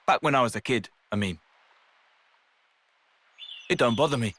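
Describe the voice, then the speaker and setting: A man's voice: mid-range voice, moderate pace, a bit bright, slight background noise, natural voice. A man voicing a scrappy, easygoing young fighter in a video game, with a British accent and slangy, informal speech. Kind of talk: video game cutscene acting.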